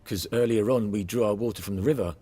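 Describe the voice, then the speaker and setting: An actor playing an anxious, superstitious village father in a video game, with a husky voice and rustic, earnest phrasing. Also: fairly low pitch, quick pace, mellow, big-room sound, soft background music. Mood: worried, grave.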